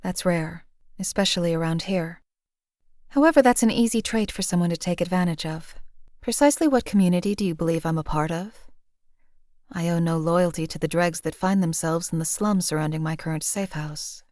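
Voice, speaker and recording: A woman voicing a cold, detached female assassin in a game, speaking in a measured, formal, matter-of-fact way. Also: fairly low pitch, a little mellow, no echo, clean studio sound, natural voice. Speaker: game companion character. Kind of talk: voiced game companion lines.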